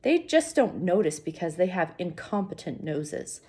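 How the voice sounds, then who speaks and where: A woman's voice: lowish voice, sing-song, normal speed, a little mellow. A woman voicing a blunt, wry, opinionated male dog who likes to complain, in a storybook reading. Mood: wry disdain.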